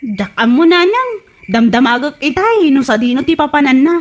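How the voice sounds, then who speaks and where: A woman's voice: sits high, sing-song, normal speed, lo-fi sound, slight background noise. An actress with an Ilocano Filipino accent playing a suspicious, aggrieved young girlfriend in a comedy radio drama. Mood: plaintive, complaining.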